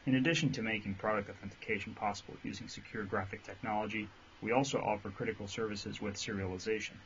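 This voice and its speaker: A man presenting his company in a steady, scripted voice-over. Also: fairly low pitch, fast talker. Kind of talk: read-out voice-over pitch. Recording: dull audio with faint hiss.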